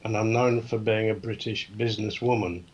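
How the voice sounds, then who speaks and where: Man, low voice, quiz reader, steady, matter-of-fact. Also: normal speed, slightly rough, muffled recording. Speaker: quiz guest. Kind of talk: reading quiz questions.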